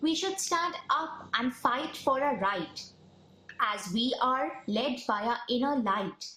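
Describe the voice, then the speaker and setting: A woman reciting a rhyming poem in a measured, deliberate way. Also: high voice, expressive, bright, forceful tone. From India. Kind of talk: poetry recitation. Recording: echoey room.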